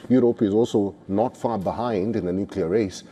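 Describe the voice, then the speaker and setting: A man presenting scripted news narration in a formal, measured delivery. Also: fairly low pitch, dark tone, slightly rough, dull audio.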